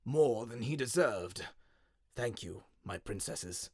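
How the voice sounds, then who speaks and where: A man voicing a frail, sad old inventor who speaks slowly and gravely, in a musing, resigned way, for an audio story. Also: medium pitch, lively intonation, darkish tone, no room sound, clean studio sound, voice pushed down, heavily acted. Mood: weary, musing.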